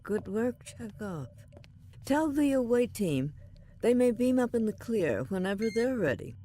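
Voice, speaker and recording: A woman's voice: a little high, a little hiss or hum. Composed starship captain, played by a woman. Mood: calm command. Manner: measured, calm, dry. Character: composed, diplomatic female starship officer in command. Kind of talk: audio drama acting.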